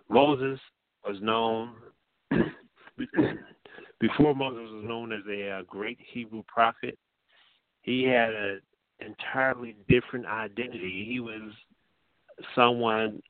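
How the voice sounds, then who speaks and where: Low-voiced man preaching over a tinny line. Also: dry recording. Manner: conversational, halting, with many fillers and restarts. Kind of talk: informal sermon. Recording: dry, tinny phone-like sound.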